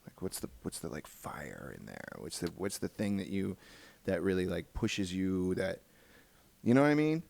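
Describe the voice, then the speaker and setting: Very deep-voiced, animated man hosting. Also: moderate pace, gravelly. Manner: informal, encouraging interjections. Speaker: podcast host. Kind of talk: casual interviewing.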